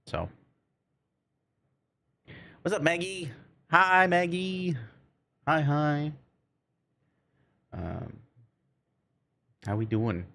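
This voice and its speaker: A man's voice: a little high, very slow, creaky, long pauses, sharp tone. A man streaming a game and chatting casually with viewers, rambling and often searching for his words. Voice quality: cannot roll R's. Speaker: game livestreamer. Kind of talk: casual livestream chat.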